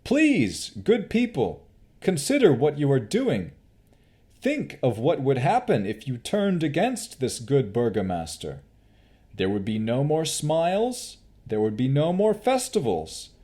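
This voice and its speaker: A man's voice: medium pitch, huge pitch swings, unhurried, warm tone, pitched above the performer's own voice. A man voicing a young male aide who pleads with a persuasive, placating manner. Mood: pleading.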